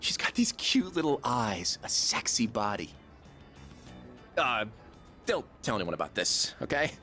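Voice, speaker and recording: An actor playing an excitable, goofy high school slacker in a video game, slangy and melodramatic. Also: male voice, unhurried, soft background music. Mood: excited, scheming.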